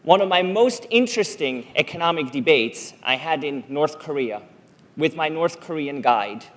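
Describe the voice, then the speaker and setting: A man's voice: fairly high pitch, lively intonation, fairly bright tone. A middle-aged man from Switzerland, a native Swiss German speaker, giving a prepared public talk on a conference stage, telling stories and asking rhetorical questions. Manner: storytelling, measured, rhetorical questions.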